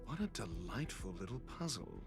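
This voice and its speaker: An actor playing an arrogant, condescending scholar in a video game, with pompous, florid delivery. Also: male voice, lowish voice, wildly swooping pitch, moderate pace, vocal fry, over music, heavily acted. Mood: intrigued. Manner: pompous, drawling, florid wording. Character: arrogant, theatrical male scholar, condescending and sardonic.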